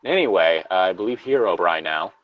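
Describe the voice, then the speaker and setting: A man's voice: deep voice, lively intonation, moderate pace, dull audio. A man co-hosting a story-reading show, switching between informal, sweary banter and reading horror stories aloud. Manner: informal, profane.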